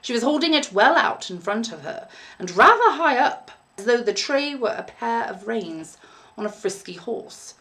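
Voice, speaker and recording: A young woman, an English teacher, reading a short story aloud in an expressive narrating style. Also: fairly high pitch, moderate pace. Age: young adult.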